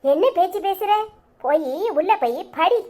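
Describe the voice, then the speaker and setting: A woman voicing a sharp-tongued old grandmother who scolds loudly in rapid tirades. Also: very high voice, expressive, voice pushed up. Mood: scolding.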